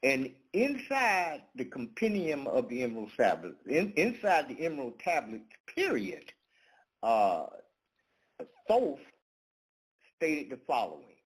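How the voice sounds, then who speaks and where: A man's voice: mid-range voice, huge pitch swings, rough recording. A man hosting his own channel, talking his viewers through a topic in a loose monologue full of fillers and restarts. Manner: halting, many fillers and restarts. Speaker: channel host. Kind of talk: casual monologue to camera.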